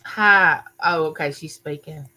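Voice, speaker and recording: An older woman, hosting a casual live chat from her home, talking loudly and chattily and greeting viewers by name. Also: deep voice, unhurried, poor audio. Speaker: livestream host. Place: home room used for filming.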